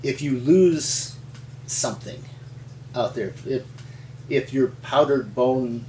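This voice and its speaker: A man's voice: mid-range voice, takes it slowly, mellow, a little hiss or hum. A man, a paleontologist, telling folksy, rambling stories in an interview. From Montana. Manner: rambling, folksy, chuckling storyteller. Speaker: resident paleontologist. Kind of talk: storytelling interview. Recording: echoey room, mic at a distance.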